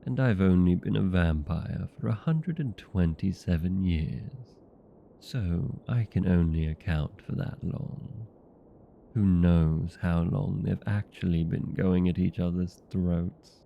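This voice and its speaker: A man playing a chatty, petty, century-old vampire in a comedic audio roleplay, talking in a wry, conversational, mildly complaining way with drawn-out words. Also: deep voice, vocal fry, muffled recording, a little hiss or hum, heavily acted. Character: chatty, petty, 127-year-old male vampire being interviewed.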